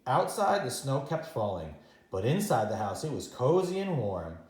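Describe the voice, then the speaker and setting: Animated man reading a storybook, roomy sound. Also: medium pitch. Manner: expressive, dramatic pauses and repetition for young children. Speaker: teacher reading a children's story. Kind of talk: animated read-aloud storytime narration.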